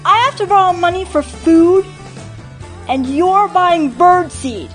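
A woman with a high voice gives an expressive reading of a personal narrative. She performs it like an actor, shaping the quoted lines, with faint music behind her. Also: slow. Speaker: actor reading a short story.

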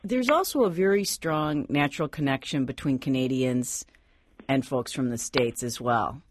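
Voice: mid-range voice, moderate pace, slight background noise. A radio host interviewing guests in a conversational way.

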